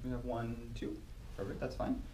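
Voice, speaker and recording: A twenty-year-old man casually moderating a student focus group, relaxed and chatty, laughing easily. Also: medium pitch, moderate pace. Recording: echoey room, mic at a distance, faint hiss.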